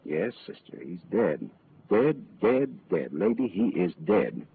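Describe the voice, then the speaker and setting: An actor playing a wry, tough, world-weary private detective with clipped, wisecracking delivery in an old-time radio drama. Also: male voice, sits low, big pitch swings, takes it slowly, vocal fry, slight background noise, heavily acted. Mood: sardonic. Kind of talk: old-time radio drama acting with first-person narration. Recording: muffled old radio recording, faint music.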